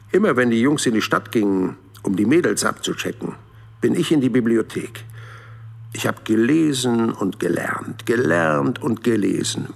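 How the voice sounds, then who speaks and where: A man's voice: medium pitch, vocal fry, husky, exaggerated voice. An actor playing a sly, unassuming Swedish man who recalls his exploits in a dry, understated way in an audio drama. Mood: matter-of-fact. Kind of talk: audio drama character monologue.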